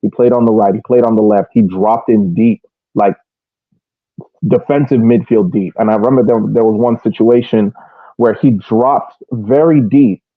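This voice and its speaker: A man's voice: on the low side, moderate pace, no echo. A man co-hosting a podcast, giving casual, detailed match analysis, often restarting and repeating phrases as he builds his point. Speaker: podcast co-host. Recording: thin phone-like band-limited audio.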